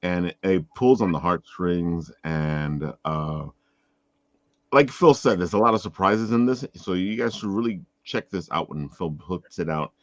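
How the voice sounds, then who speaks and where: A man with a deep voice hosts a casual chat show. He sounds dry, with no room echo, over slightly dull, lo-fi call audio. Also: measured pace. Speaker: interview show host.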